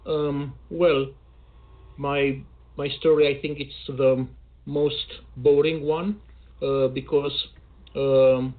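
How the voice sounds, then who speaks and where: A man hosting a poetry show over a video call, speaking in a measured, formal way with dry self-mockery. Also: mid-range voice, flat delivery. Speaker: host. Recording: tinny call audio.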